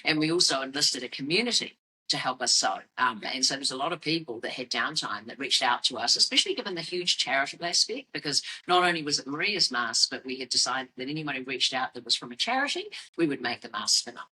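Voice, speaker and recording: A middle-aged woman with a New Zealand accent and a husky voice, telling a business story conversationally in measured, explanatory phrases. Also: very deep voice, animated, bright, forceful tone, poor audio. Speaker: fashion business founder on a company podcast.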